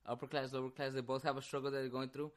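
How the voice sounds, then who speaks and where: A man co-hosting a chat podcast, talking in an easygoing, loose way. His voice sits at an ordinary middle pitch, and he drops in casual fillers as he goes. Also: very fast. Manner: relaxed, loose, says "yeah" and "dude" a lot. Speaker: podcast co-host.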